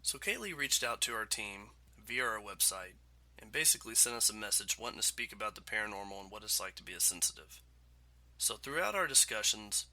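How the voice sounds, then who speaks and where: A man's voice: on the low side, pushed and bright. A man hosting a casual podcast interview, congested from a cold, chatty and laughing between points. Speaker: podcast host.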